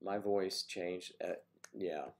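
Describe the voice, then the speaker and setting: An older man with a congested, deep voice, chatting casually and teasingly on his morning livestream, greeting viewers by name. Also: fairly even intonation, slow. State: congested with a cold. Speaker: livestream host.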